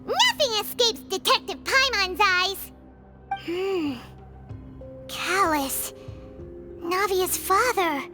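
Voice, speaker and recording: Very high squeaky little fairy companion. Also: female voice, animated, takes it slowly, long pauses, full character voice. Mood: curious. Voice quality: squeaky, childlike. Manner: exclaiming, self-important, refers to herself by name. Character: tiny excitable childlike fairy companion, cute and chatty. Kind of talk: animated video game acting. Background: background music.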